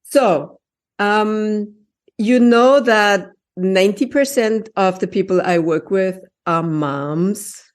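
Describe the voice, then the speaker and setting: A woman hosting a podcast on language, chatting casually and asking long, lively questions. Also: mid-range voice, slow pace. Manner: lively, laughs often, asks long questions. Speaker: podcast host, linguist. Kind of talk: casual interview.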